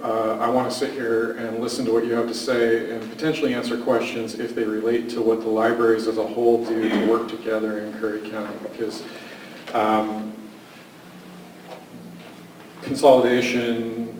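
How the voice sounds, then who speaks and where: A man, a library director, speaking in a measured, hesitant way at a public meeting. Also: mid-range voice, slight background noise. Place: meeting room. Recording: echoey room from far off.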